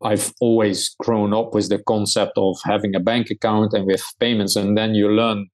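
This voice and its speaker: Middle-aged Dutch-accented founder, lowish voice, measured delivery with hesitations. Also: male voice, flat delivery, a little mellow. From Netherlands. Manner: measured, with frequent hesitations and restarts. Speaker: startup founder and CEO. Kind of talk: conversational interview answers.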